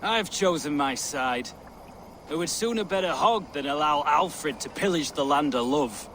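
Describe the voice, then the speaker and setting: An actor playing a defiant, self-mocking Saxon lord in a video game, wry and boastful. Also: male voice, a little high, animated, a little slow, fairly bright tone, a little hiss or hum.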